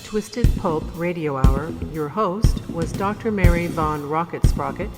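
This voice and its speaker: A woman's voice: on the low side, a little slow. A woman announcing scripted radio show credits, with long reverb and music. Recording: long hall reverb under loud drum music.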